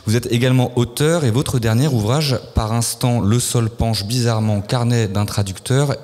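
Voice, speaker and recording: A low-voiced man hosting a live radio interview, formal and measured, pausing on the odd filler. His voice rings out with a long hall reverb. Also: a little mellow. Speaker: radio host. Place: festival venue, live broadcast.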